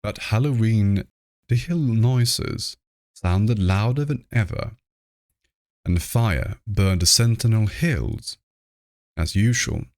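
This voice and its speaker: A man reading classic horror fiction aloud as scripted narration, in a measured, deliberate manner, close to the microphone. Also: on the low side, lively intonation, darkish tone, no echo. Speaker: audiobook reader.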